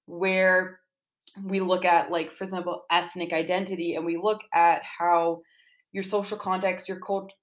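A young woman with a fairly low voice talks as a student being interviewed about their own writing. The delivery is casual and earnest, explaining things informally with plenty of "like" along the way.

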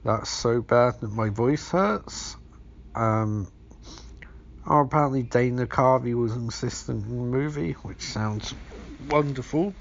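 Hoarse man, gravelly, podcast host, swears a lot, rambling movie recap, dull lo-fi sound. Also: mid-range voice, unhurried. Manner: sweary, rambling, acts out scenes. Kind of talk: casual profane movie recap. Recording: dull lo-fi recording.

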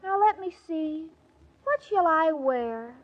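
Very high-voiced dim blonde. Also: female voice, animated, slow, heavily acted. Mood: melodramatic self-pity. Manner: naive, earnest, melodramatic. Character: sweet dim-witted young blonde woman, self-dramatizing hypochondriac. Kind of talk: 1940s radio comedy acting. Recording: noisy dull old radio recording, loud audience.

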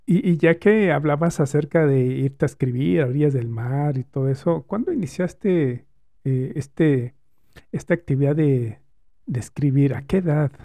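A man's voice: on the high side, moderate pace, warm tone, dry recording, clean studio sound. A man hosting a podcast interview, asking questions in a friendly way with hesitant fillers. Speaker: podcast host. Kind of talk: casual interview.

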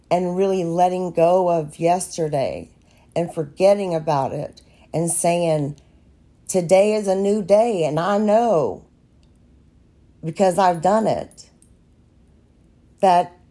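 A woman with a raspy voice from allergies hosts her own podcast in an unscripted devotional monologue. She talks slowly and earnestly, with a mellow, gravelly tone. She often circles back and restarts her phrases as she encourages listeners. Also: on the low side. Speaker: podcast host.

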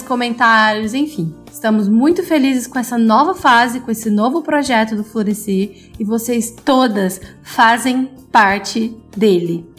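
A woman hosting a podcast, reading a cheerful, inviting scripted introduction. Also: a little high. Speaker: podcast host.

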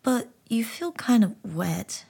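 A woman voicing a shy young boy who stammers and speaks high and eagerly. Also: expressive, slow, gravelly, dark tone, breathy edge, heavily acted. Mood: timid eager.

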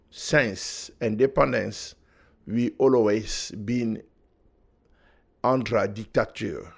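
A man, speaking as a podcast guest in halting, earnest English. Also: fairly low pitch, creaky. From Democratic Republic of Congo. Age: 40s. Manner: halting non-native English in short earnest phrases. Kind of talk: conversational interview, personal testimony.

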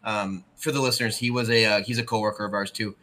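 A man's voice: on the low side, fairly even intonation, quick pace, sharp tone. A young man in his twenties, co-hosting a podcast, talking casually with many ums and restarts. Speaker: podcast co-host.

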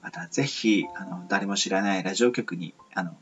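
A man's voice: low voice, normal speed, fairly bright tone. A man hosting a casual radio talk show in a polite, rambling, hesitant way. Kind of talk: casual interview and sign-off chat. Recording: muffled lo-fi with faint music.

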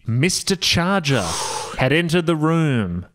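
A man's voice: a little high, sing-song, takes it slowly, a bit bright. Amused man reading aloud and riffing. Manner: amused, often breaking into laughter. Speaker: podcast guest reading aloud. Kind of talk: comedic read-aloud with joking asides.

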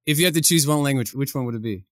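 Fast-talking Ukrainian-born podcast host. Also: male voice, medium pitch, dry recording, clean studio sound. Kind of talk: podcast intro and interviewing.

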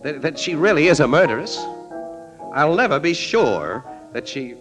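An actor playing a wry, worldly American newspaper photographer, slangy and halting, in a 1940s radio drama. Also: male voice, on the high side, very animated, music in the background, low-quality recording. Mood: troubled. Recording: vintage radio broadcast recording.

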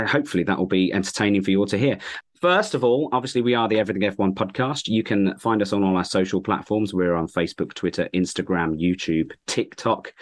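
Male podcast host, low voice a bit croaky, friendly casual chat.

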